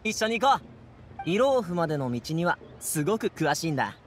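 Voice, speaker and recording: An upbeat, friendly young guard from an anime game, with a high, bright-spirited delivery. He speaks in breezy slang with animated intonation, over faint street ambience. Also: fairly quick, slight background noise. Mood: eager, cheerful. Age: young adult. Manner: casual, breezy, boyish slang. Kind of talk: Japanese anime game voice acting.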